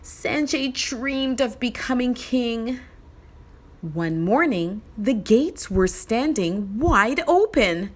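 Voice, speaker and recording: A woman narrating a children's story read-aloud in an expressive, deliberate way. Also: fairly high pitch.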